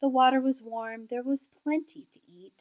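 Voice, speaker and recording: A woman's voice: sits high, fairly even intonation, normal speed, dull audio. A woman reading a rhyming children's storybook aloud as narrator, in a rhythmic verse delivery. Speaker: storybook reader.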